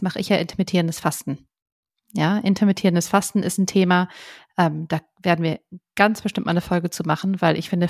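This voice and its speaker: A chatty woman with a slightly low voice, talking in a relaxed, rambling way and laughing often. The sound is dry and close, with no room in it. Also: no echo. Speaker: podcast co-host. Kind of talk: casual podcast chat.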